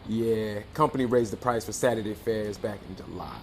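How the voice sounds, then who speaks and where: A man's voice: fairly low pitch, normal speed, slight background noise, natural voice. A man playing a folksy, laconic older ticket agent in a radio play. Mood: matter-of-fact.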